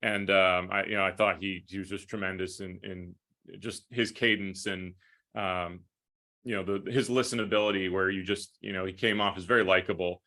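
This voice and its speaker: A man's voice: deep voice, level tone, normal speed, pushed and bright. An American man who works as a sports broadcaster, talking conversationally and at length in thoughtful, detailed interview answers. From United States, upstate New York. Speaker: hockey play-by-play broadcaster.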